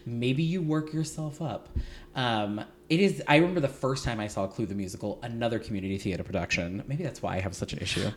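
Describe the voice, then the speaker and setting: A man hosting a podcast, telling stories in an animated, gossipy way with a slight lisp now and then. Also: medium pitch, fast, a little hiss or hum. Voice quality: light lisp at times. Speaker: podcast host. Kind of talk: casual chat.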